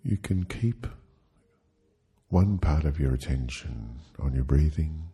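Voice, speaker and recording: A man leading a guided sleep hypnosis, speaking soothingly and gently in short, halting phrases with long pauses. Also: extremely low pitch, animated, breathy edge.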